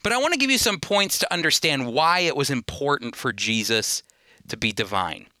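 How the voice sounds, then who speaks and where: Bright, forceful man teaching the Bible on radio. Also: mid-range voice, animated, no echo, clean studio sound. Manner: earnest, emphatic, deliberate phrasing.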